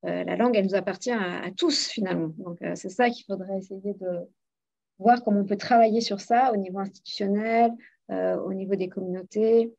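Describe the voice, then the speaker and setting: A woman's voice: medium pitch, steady tone, fairly quick, vocal fry, a little mellow. A woman who teaches French, speaking as a guest on a radio panel in an earnest, explanatory way with many fillers. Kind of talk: casual radio discussion. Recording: dull lo-fi radio audio.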